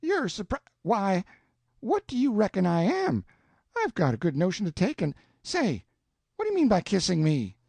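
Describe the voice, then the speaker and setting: A man voicing a flustered, hospitable older Southern aunt who scolds in a shrill, excitable voice. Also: moderate pace, no echo, heavily acted. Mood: indignant.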